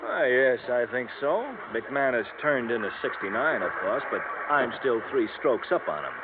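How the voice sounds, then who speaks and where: A pompous wealthy golfer answers stiffly and defensively at a mid-range pitch, played by an actor. The sound is tinny old radio with a clear hiss underneath. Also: male voice, lively intonation, moderate pace, close to an everyday voice. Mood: haughty. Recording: noisy tinny 1950s radio.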